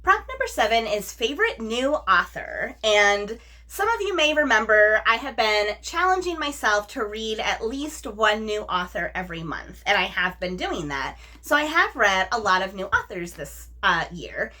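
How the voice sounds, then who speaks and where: A woman's voice: medium pitch, very animated, moderate pace, hardly any pauses, sharp tone. A woman talking casually as a vlog host, in an effusive, emphatic, informal way with slangy asides. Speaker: book review vlogger, host. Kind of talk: casual book chat to camera.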